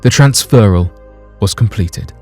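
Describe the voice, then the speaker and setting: Deep, dark-voiced male storyteller. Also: measured pace, faint music behind. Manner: literary, dramatic. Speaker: narrator. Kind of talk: scripted storytelling narration.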